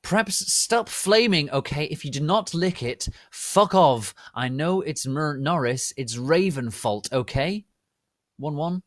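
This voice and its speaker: A man's voice: on the high side, animated, takes it slowly, dry recording, clean studio sound, pitched above the performer's own voice. A man voicing a petulant, bratty teenage girl author in an exclamatory reading of notes to readers. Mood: indignant.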